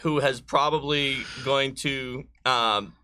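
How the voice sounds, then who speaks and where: Bright, forceful man hosting a casual stream. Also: medium pitch, steady tone, takes it slowly, smooth. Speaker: co-host and game designer. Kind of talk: casual livestream banter with audience.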